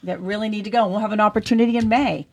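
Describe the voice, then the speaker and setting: A woman, a guest on a talk radio show, speaking loudly and forcefully with steady emphasis. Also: mid-range voice, racing. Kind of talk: talk radio political chat.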